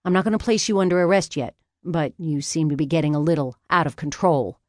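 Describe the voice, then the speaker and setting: A woman's voice: sits low, a little fast, darkish tone, no echo, clean studio sound, close to an everyday voice. A woman voicing a stern, businesslike police officer with a firm official manner in an audiobook story.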